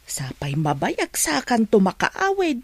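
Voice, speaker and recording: Husky, worried Ilocano mother played by a woman. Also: a little high, huge pitch swings, a little slow, no room sound, full character voice. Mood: worried, tender. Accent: Ilocano Filipino. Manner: tender, worried. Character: older, worried, tender mother of a seaman. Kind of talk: Filipino radio drama acting.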